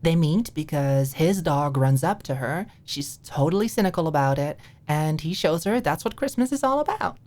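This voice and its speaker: A woman voicing a playful, eager young woman in an audiobook romance, with an animated, teasing way of talking. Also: moderate pace, hardly any pauses. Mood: mock-earnest, playful. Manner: animated, teasing, presenting mock pitches. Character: Maude, giggly, heartbroken, eager young woman riffing playfully.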